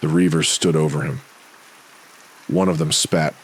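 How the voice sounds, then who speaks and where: A man's voice: very deep voice, steady tone, unhurried, husky, poor audio. A man delivering scripted noir radio drama narration in a brooding, dramatic manner.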